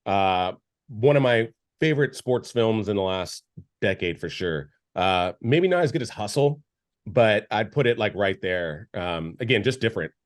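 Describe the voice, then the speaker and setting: A man with a fairly low voice chats casually on a podcast, reviewing films. He rambles, trips over his own restarts and fills the gaps with filler words. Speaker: podcast co-host, film reviewer. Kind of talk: casual chat.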